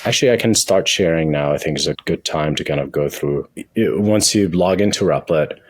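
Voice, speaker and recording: A man with a husky voice speaking as a guest in an interview, explaining things in a halting way with frequent restarts. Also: rumbling low, no room sound, clean studio sound. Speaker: guest, tech company founder and CEO. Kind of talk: interview conversation with software demo.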